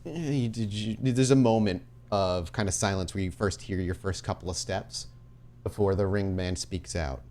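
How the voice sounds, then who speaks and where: A man narrating a tabletop horror roleplay as game master, with dramatic, deliberate scene-setting. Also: sits low, darkish tone.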